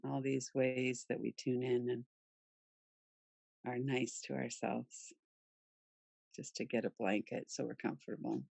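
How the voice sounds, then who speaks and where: Female meditation guide, soft-edged dark tone, close dry sound, soothing delivery with pauses. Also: very deep voice, little pitch movement, vocal fry, dry recording. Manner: soothing, gentle, unhurried with pauses. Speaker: yoga nidra meditation guide.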